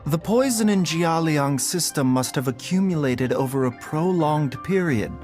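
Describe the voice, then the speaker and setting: A man playing a polite, composed physician in a video game, speaking in measured, formal sentences. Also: fairly high pitch, lively intonation, darkish tone, faint music behind, close to an everyday voice. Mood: thoughtful. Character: calm, courteous male physician hiding his frailty. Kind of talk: scripted video game voice acting.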